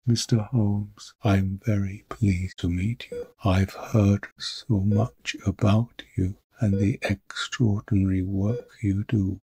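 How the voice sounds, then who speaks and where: A man's voice: fairly low pitch, fairly even intonation, takes it slowly, hardly any pauses, mellow, slightly rough, dry recording, exaggerated voice. A man playing a frail, dying duke in an audio drama, courteous, grave and intent on his quest. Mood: courteous, weary.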